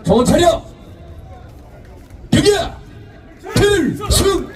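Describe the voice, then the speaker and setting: Distant rally emcee man, lively high voice. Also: extremely slow. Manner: formal announcements, shouted cues for the crowd. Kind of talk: announcing at a protest rally. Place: outdoor street rally. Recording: distant PA voice with loud crowd and music.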